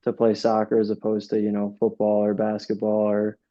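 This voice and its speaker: A man's voice: lowish voice, monotone, brisk, mellow, highs cut off. A young man from upstate New York, a soccer coach, answering interview questions in a casual, rambling way full of fillers and restarts. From Rochester, New York.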